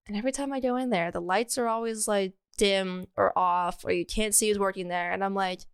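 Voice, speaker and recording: A woman's voice: medium pitch, fairly even intonation, fairly quick, clear, resonant tone. An American woman co-hosting a podcast in casual, comedic chat, rambling and giggly as she goes. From Maryland, United States. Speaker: podcast co-host.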